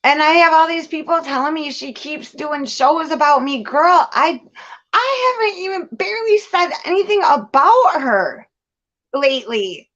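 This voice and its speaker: A woman's voice: high voice, animated, measured pace, a bit bright, highs cut off. A woman venting candidly and casually as host, blunt and profane, leaning hard on repeated phrases for emphasis. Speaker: host of a live commentary show.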